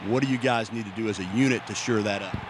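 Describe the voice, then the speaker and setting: Fast-talking American male sports interviewer outdoors. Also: fairly low pitch. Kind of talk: broadcast field interview. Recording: outdoor field recording, faint ambient noise.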